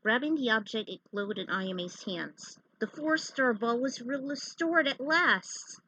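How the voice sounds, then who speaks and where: Casting: female narrator, unhurried, fairly high voice, informal storytelling and gamer chat, dry sound. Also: lively intonation, sharp tone, no room sound, low-quality recording. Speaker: storyteller and gamer reading own fan fiction. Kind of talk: casual story narration over gameplay.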